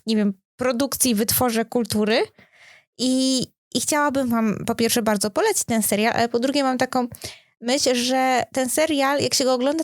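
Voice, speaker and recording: A woman's voice: high voice, no echo, studio quality. A woman co-hosting a podcast in casual conversation, chatty and informal, thinking aloud as she talks. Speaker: podcast co-host.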